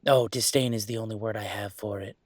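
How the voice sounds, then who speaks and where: A man playing an upset young werewolf venting about his father in an intimate audio roleplay, speaking in a halting, emotional, rambling way. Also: fairly low pitch, fairly even intonation, dry recording, close to an everyday voice. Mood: weary, hurt.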